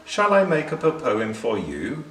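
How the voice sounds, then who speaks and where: A man voicing a gentle female woolly sheep, a poet who recites in rhyme in a children's storybook. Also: medium pitch, wildly swooping pitch, measured pace, pitched above the performer's own voice. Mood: friendly. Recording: roomy, distant, faint background noise.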